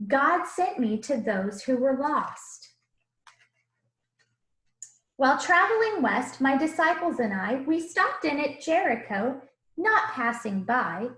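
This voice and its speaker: A woman voicing a gentle, earnest Jesus who tells his own storybook tale in rhythmic rhyme. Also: high voice, very animated, slow, heavily acted. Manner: rhythmic rhyming storybook delivery. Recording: roomy, echoing room sound.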